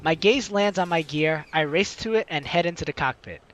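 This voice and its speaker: A man's voice: fairly high pitch, brisk, fairly bright tone, soft background music. A man hosting a let's play, reading the story aloud in a playful, dramatic way and adding his own commentary.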